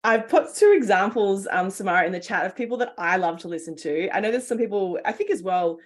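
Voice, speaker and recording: A woman's voice: mid-range voice, lively intonation, fast talker. A woman hosting a webinar, native English speaker, talking in a casual, chatty way with frequent fillers. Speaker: webinar host.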